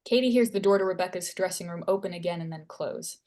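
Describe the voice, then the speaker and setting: A woman serves as narrator at a screenplay table read. She reads scene headings and action lines in a slightly low voice. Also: fast, darkish tone. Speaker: stage directions narrator.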